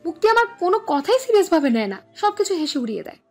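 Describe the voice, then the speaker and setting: A woman plays a meddling, teasing little sister with a high voice, quick to take offence. It is an audio love story with faint music underneath. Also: sing-song, heavily acted. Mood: insistent, confiding.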